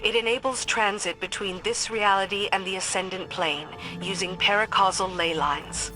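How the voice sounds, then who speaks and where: A woman's voice: mid-range voice, steady tone, normal speed, natural voice, low-quality recording. A woman playing a stern, dutiful military lieutenant in a video game, giving earnest, commanding briefings. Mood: commanding, urgent. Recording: voice over game music.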